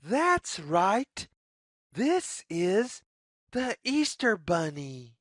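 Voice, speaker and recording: A woman reading a story aloud for young children, phrasing deliberately and putting questions to the listener. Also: mid-range voice, very animated, dry recording, studio quality. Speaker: children's read-aloud narrator.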